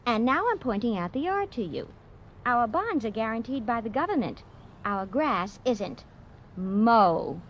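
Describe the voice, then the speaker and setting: A bossy, sharp-witted housewife in an old radio sketch. Her voice is fairly high and swings animatedly as she nags. The sound is dull with a faint hiss. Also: sing-song, unhurried. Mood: tart, bossy. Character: brisk nagging suburban wife, quick-witted and bossy. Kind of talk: 1950s radio comedy sketch acting. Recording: muffled old radio broadcast, faint noise.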